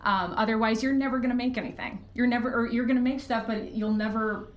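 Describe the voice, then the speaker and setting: A woman's voice: on the high side, steady tone, fast. A woman podcast guest talking casually and candidly, giving informal advice with the odd swear word. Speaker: podcast guest, fiction podcast creator. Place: living room. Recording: roomy echo, faint background noise.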